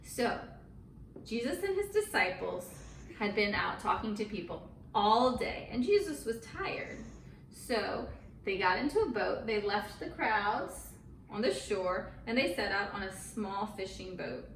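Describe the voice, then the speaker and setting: A woman's voice: mid-range voice, animated, measured pace, gravelly, a bit bright. A woman teaching children a Bible story in simple, encouraging words, with dramatic emphasis as she tells it. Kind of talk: animated storytelling and chat with children. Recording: roomy, distant mic, faint noise.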